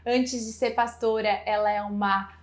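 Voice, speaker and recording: A woman's voice: on the high side, steady tone, moderate pace. A woman hosting an informal video interview, speaking effusively and directly to viewers. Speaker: host interviewing a guest. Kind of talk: informal video channel introduction and conversation.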